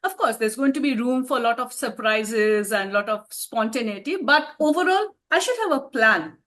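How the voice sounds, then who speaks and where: A woman's voice: on the high side, expressive, normal speed, fairly bright tone. A woman, an author answering interview questions in a reflective way and laughing often. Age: 50s.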